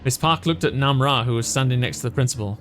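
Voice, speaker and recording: A man's voice: mid-range voice, fast, fairly bright tone, faint music behind. A man reading a visual novel aloud as a let's player, in dramatic story narration.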